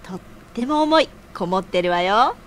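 An actress playing a sisterly, teasing, encouraging friend with feminine phrasing in an audio drama. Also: female voice, mid-range voice, expressive, moderate pace, slight background noise, close to an everyday voice. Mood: playful.

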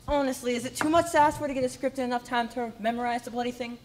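A woman's voice: a little high, little pitch movement, rapid-fire, a crowd in the background, lightly acted. A young woman acting on a school stage as an exasperated, ageing radio performer who complains indignantly.

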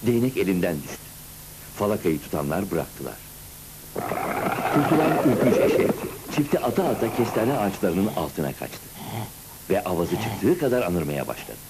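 A man narrating a cartoon story in the first person with expressive, dramatic storytelling. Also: deep voice, normal speed, heavy background noise. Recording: music behind, old cartoon soundtrack. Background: music.

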